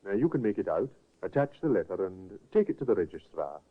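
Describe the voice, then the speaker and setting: An actor in a 1950s radio drama playing a calm, businesslike doctor. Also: male voice, low voice, fast, husky, heavily acted. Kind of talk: 1940s radio drama acting. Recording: tinny old radio broadcast.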